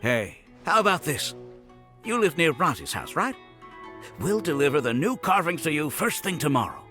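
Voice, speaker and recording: An eager, friendly game shopkeeper. His voice sits fairly high and moves around a lot, courteous and keen to please, with music underneath. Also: big pitch swings, normal speed, pushed and bright. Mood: kindly, reassuring. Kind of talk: video game voice acting.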